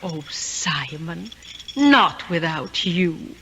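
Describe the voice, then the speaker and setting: A woman's voice: lowish voice, sing-song, extremely slow, full character voice. An actress playing a devoted, long-suffering lady, genteel, fond and plaintive, in a 1970s radio drama. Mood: tender, resigned. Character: devoted, long-suffering female companion, sweetly fretful. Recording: faintly noisy 1970s radio broadcast.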